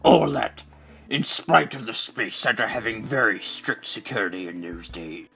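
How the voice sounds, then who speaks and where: A man's voice: on the low side, lively intonation, normal speed, creaky, pushed and bright, breathy edge, full character voice. A man playing a pompous, secretive older space center director in a video game fandub, speaking in a theatrical, declamatory way. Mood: bitter. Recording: amateur recording with music.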